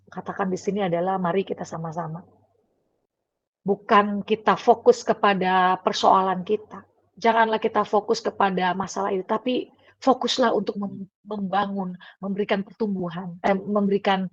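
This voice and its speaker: Woman giving a chatty devotional talk. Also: mid-range voice. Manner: conversational, many fillers and tag questions. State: coughing now and then. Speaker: devotional speaker. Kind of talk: casual devotional sermon. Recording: dull, dry, lo-fi recording.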